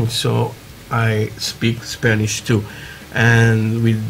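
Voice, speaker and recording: A man from Portugal with a Portuguese accent, chatting haltingly through a hobby tutorial with many fillers, while building at a workbench. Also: medium pitch, a little hiss or hum. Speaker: scale model builder. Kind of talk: casual hobby tutorial talk. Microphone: camera over work desk, hands only.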